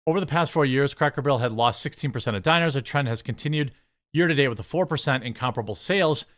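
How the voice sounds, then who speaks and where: A man's voice: mid-range voice, quick pace, a bit bright. An American man giving opinionated news commentary, reading articles aloud and reacting with blunt, sarcastic, exasperated asides. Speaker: commentary show host.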